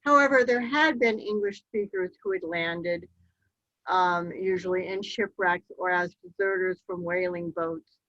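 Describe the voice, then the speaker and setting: A woman giving a slide-show history talk, telling the story in a measured way with occasional ums. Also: lowish voice, a bit bright, no echo. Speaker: local history speaker. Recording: dull, lo-fi dry recording.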